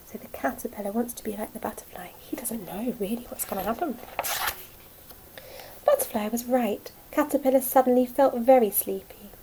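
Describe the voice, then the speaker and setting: A woman speaking as a nursery teacher, telling a story to young children in a simple, expressive way. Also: on the high side, moderate pace, heavy background noise.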